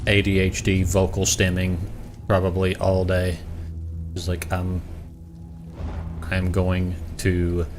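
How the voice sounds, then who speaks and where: Southern guy streaming a game, deep voice, rambly and joking at himself. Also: completely flat delivery, music in the background. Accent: Southern American. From Southern United States. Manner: rambling, self-mocking, quick asides. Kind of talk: casual gameplay commentary. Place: home room.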